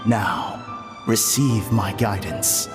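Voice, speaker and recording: An actor playing a calm, self-assured male counselor in a game who speaks in a measured, soothing way. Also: fairly low pitch. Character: calm male counselor who erases troubles, smooth and all-knowing. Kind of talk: game voice acting. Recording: long reverb on the voice. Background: clear game music.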